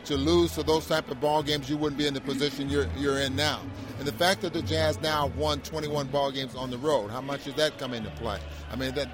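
A male sports analyst talks through a game in a conversational way. His voice is medium in pitch, and he speaks haltingly, doubling back on words in an agreeable manner. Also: fairly even intonation, over music. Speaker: sports radio co-host, analyst.

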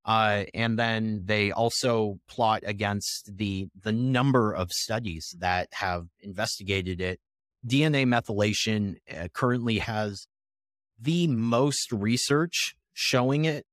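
Low-pitched man explaining research. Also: dry recording, studio quality. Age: 30s. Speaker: podcast guest, health researcher.

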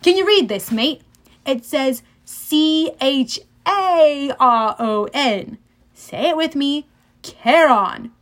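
A woman voicing a smooth, condescending male underworld ferryman with a British-leaning accent, as in an audiobook. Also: high voice, lively intonation, slow, voice pushed up. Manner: suave, drawling, condescending.

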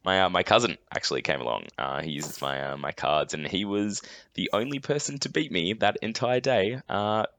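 A man co-hosting a podcast in a relaxed, self-deprecating way, his voice still a bit croaky after a late night. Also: sits low, normal speed, slight background noise. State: slightly croaky voice. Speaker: podcast co-host. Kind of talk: casual chat.

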